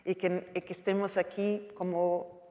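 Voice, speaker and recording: A woman speaking in her second language with a Portuguese accent, a writer on a panel, halting and searching for words. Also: on the low side, little pitch movement, warm tone. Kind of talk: panel talk. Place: lecture hall. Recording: long hall reverb.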